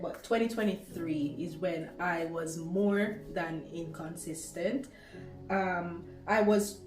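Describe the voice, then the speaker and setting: A woman's voice: mid-range voice, a little mellow, recorded from a distance. Jamaican woman in her twenties, roomy. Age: 20s. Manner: chatty, rambling, with restarts. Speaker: small YouTuber. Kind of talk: casual talk while cooking. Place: home kitchen. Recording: roomy, from off the mic, faint music.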